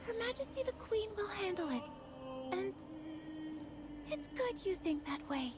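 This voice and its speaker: Polite, earnest young game mage: extremely high, expressive voice, loyal servant to royalty. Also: female voice, a little slow, exaggerated voice. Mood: polite, gentle. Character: gentle, loyal young female mage attendant to a princess. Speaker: voice actor in a fantasy game. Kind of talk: dramatic game voice acting. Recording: game music playing behind.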